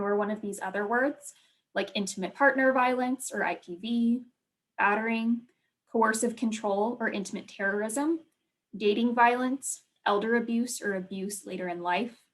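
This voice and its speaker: A woman co-leading a virtual training over a video call, speaking gently with many ums and you-knows. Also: medium pitch.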